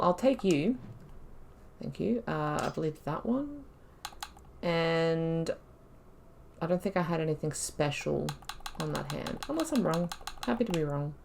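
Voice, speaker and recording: A woman giving casual commentary while playing a game, thinking aloud and breaking into excited exclamations. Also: on the low side, creaky, a little hiss or hum. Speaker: let's play host.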